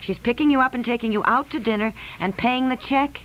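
An actress playing an amused, easygoing wife with a wry, playful manner in a radio comedy-drama. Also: female voice, a little high, a little fast, lightly acted. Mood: wry, teasing. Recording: muffled, faintly noisy radio recording.

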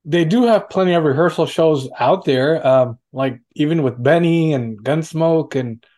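A man's voice: fairly high pitch, measured pace, darkish tone. A man chatting casually and politely in a group, stammering a little as he repeats words. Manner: polite, addresses others as sir. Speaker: meeting host.

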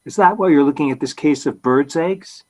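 An actor playing a naive, polite young husband who stammers and hesitates, in old-time radio comedy style. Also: male voice, fairly high pitch, normal speed, a little mellow, natural voice. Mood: naive, puzzled.

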